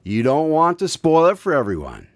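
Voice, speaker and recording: An actor playing a friendly, attentive listener who prompts a storyteller in a radio drama. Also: male voice, fairly high pitch, wildly swooping pitch, moderate pace, dry recording, clean studio sound. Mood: amused prompting.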